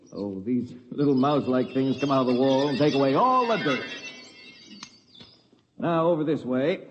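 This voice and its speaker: An actor playing a chatty, eager real estate agent in a 1950s radio drama, with a breezy sales manner. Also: male voice, fairly high pitch, a little mellow. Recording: muffled old radio, reverberant, faint noise.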